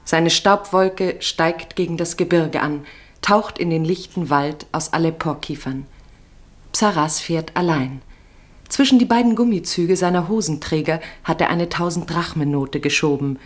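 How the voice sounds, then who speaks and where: A woman giving measured, literary narration for a radio drama. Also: low voice. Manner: measured, descriptive.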